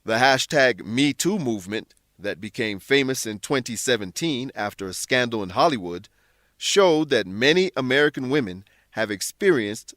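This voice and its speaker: A man presents a scripted English lesson in a didactic manner. His mid-pitched voice moves up and down a lot as he stresses key words, and the sound is dry and close. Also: a bit bright, no echo. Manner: didactic, clearly explaining with emphasized key words. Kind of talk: scripted instructional narration.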